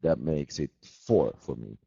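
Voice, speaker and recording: Very deep, husky man. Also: no echo. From Finland. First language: Swedish. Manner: calm, measured, dry humor. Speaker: band member answering fan questions. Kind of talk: casual video chat with fans. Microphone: laptop video call mic. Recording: dull lo-fi video call audio.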